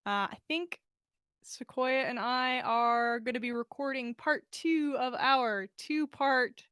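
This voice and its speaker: Woman, very high voice, story reader and host, informal, dry close recording. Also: steady tone, slow pace, a bit bright, clean tone, no echo. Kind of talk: fan fiction reading and casual livestream chat.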